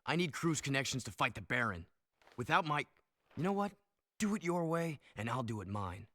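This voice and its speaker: A man's voice: mid-range voice, big pitch swings, moderate pace, fairly bright tone. An actor playing a defiant, determined young male hero in a video game, curt and serious. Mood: tense, defiant. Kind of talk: video game cutscene acting.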